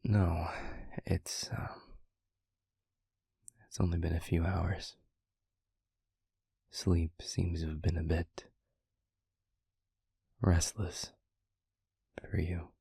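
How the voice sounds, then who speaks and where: Man playing a breathy, low demon lover. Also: flat delivery, no echo, studio quality, exaggerated voice. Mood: tender, concerned. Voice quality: breathy, husky, often near whisper. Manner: gentle, hesitant, slow and deliberate. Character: tender, devoted male demon lover, softly protective. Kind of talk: intimate audio roleplay, ASMR-like.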